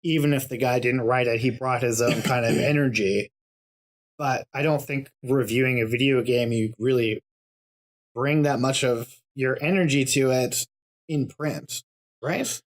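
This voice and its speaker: A man's voice: medium pitch, normal speed. A man who is a guest on a podcast, chatting casually and thinking aloud with hesitant starts.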